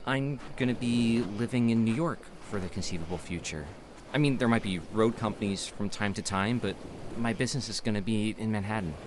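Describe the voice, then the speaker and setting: A man's voice: fairly low pitch, fairly quick, noisy background, close to an everyday voice. An actor playing an eager, talkative young city man in a naturalistic audio drama. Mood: upbeat, eager. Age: young adult.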